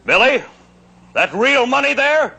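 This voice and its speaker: An actor playing a loud, pushy bank depositor in an old television western, his voice strained and shouting. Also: very high-pitched, sing-song, a little slow, creaky, breathy edge, heavily acted. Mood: excited. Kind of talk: mid-century American television western acting. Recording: old soundtrack with crowd noise.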